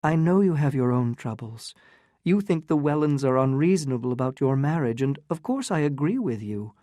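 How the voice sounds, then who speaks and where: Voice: medium pitch, animated, normal speed, warm tone, no room sound, natural voice. A narrator voicing a poised young countess who is guarded and proud, speaking in a lighter, clipped, composed way. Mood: quiet.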